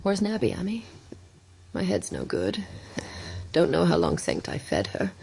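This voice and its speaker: A woman voicing a dying old farmer, dazed with horror, in halting rustic fragments and breathy croaks for a horror audiobook. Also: lowish voice, wildly swooping pitch, a little mellow, voice pushed up, full character voice. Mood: weak, warning. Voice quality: breathy, crackling whispers and croaks. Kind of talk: dramatic horror audiobook reading.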